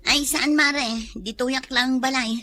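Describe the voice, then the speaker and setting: Exasperated Ilocano housewife, bright voice. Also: female voice, sits high, steady tone, a little slow, soft background music. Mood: resigned. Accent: Filipino (Ilocano). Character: long-suffering, exasperated housewife, thrifty and sarcastic. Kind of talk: Ilocano comedy radio drama acting.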